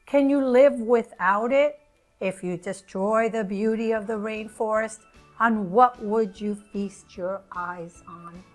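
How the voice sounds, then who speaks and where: A woman voicing a slow, lazy female sloth in a storybook, with a deep drawl. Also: soft background music, natural voice. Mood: languid.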